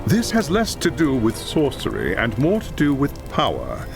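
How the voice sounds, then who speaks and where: A grave, knowing companion. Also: male voice, medium pitch, wildly swooping pitch, normal speed, gravelly. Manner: grave and explanatory. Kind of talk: game cutscene voice acting. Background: orchestral game music.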